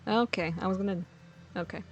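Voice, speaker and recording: A woman's voice: medium pitch, a little fast, muffled recording, faint music behind. A woman giving casual, sweary let's play commentary with snarky reactions. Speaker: streamer.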